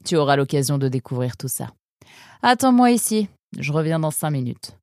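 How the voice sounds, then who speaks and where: A woman voicing a confident, proud female fox who explains things briskly in a children's audio story. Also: low-pitched, big pitch swings, darkish tone, dry recording, clean studio sound. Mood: proud, explanatory.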